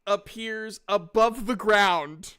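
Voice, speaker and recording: Woman, game master, smooth clear voice, slow halting delivery, casual improvised narration. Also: mid-range voice, a bit bright. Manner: halting, restarts descriptions mid-phrase.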